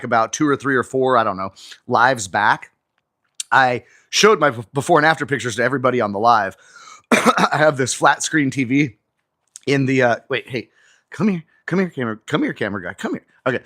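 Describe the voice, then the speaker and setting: A man in his forties talks casually to his viewers on a live stream while stretching in his garage gym. The sound is dry and close. His pitch sits at an average level, and he speaks conversationally and reads out comments as he goes. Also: fairly bright tone, dry recording. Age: 40s. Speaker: livestream host. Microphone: phone camera on an auto-tracking mount.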